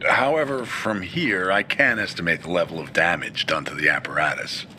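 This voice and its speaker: A man's voice: low-pitched, normal speed, pushed and bright, slight background noise. A male actor playing a pedantic, formal military technician in a video game cutscene. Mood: matter-of-fact. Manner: precise, pedantic, formal wording. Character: precise, formal military technician, an adult man.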